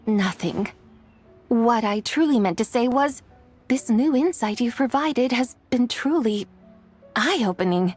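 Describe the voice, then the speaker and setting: A lofty immortal lady in a fantasy game. Her voice is fairly high and breathy, with stately, old-fashioned phrasing and curious poise, over faint music. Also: animated, slow pace, exaggerated voice. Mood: composed. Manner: formal, archaic phrasing, stately. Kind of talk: video game voice acting.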